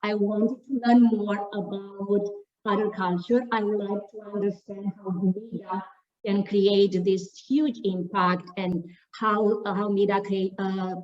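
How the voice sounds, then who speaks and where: Woman talking slow, lots of ums, sounds far off and kinda muffled. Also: medium pitch, level tone, a little mellow. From Romania. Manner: halting, many fillers and restarts. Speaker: staff member sharing her story. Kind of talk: online panel talk.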